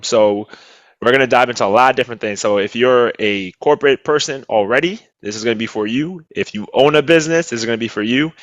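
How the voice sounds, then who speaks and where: A male co-host with a hyped, slangy delivery. His voice is recorded dry and close, with no room sound. His pitch is ordinary for a man. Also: a bit bright, no echo. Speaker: podcast co-host. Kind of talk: casual hosted interview.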